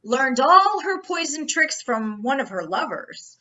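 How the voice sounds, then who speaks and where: Lady doing a folksy cop captain in an old radio mystery, needling, swoops up and down, sharp voice. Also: sits high, big pitch swings, measured pace, muffled recording, exaggerated voice. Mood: sly, mock-casual. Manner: mock-casual, probing, insinuating questioning. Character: brash, folksy, slyly needling police captain. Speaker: actor in an amateur radio play. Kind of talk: old-time radio detective acting.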